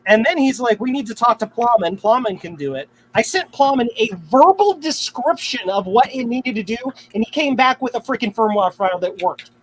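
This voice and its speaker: A man's voice: extremely high pitch, animated, fairly quick, hardly any pauses. A man hosting a live stream, chatting casually in a jokey, slangy way. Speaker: livestream host. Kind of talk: casual live-stream banter about retro computers. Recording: noisy live stream, room ambience.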